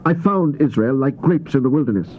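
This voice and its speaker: Stern male prophet, played by an actor, mid-pitched, solemn declamatory scripture delivery for dramatized Bible, muffled noisy tape. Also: animated, a little fast, lightly acted. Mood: grieving anger. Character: stern male Old Testament prophet proclaiming God's judgment. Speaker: scripture reader. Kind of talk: dramatized King James Bible reading.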